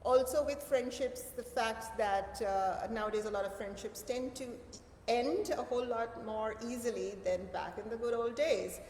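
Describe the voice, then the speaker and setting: A woman's voice: on the high side, moderate pace, darkish tone. A woman hosting a casual conversational interview in an agreeable, encouraging way. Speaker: interview host. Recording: echoey reverberant room with background noise.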